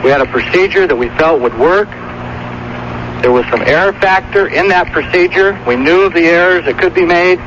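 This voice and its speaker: A man, a sheriff, giving a halting, matter-of-fact statement to the press. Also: high-pitched. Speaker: county sheriff. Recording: muffled, noisy news tape.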